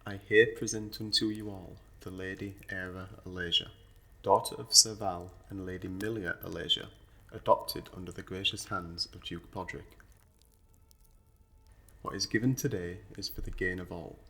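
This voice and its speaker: A man's voice: sits low, fairly even intonation, moderate pace, a bit bright. A man playing a solemn officiant of a fantasy noble court in an audio roleplay, speaking in a stately, formal, declamatory manner.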